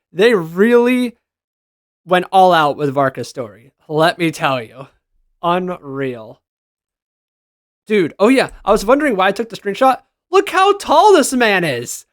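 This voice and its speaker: Man, higher-pitched voice, casual reaction commentary, enthusiastic, slangy, exclaiming, dry recording. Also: fairly bright tone, no room sound. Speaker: gaming video creator reacting to screenshots.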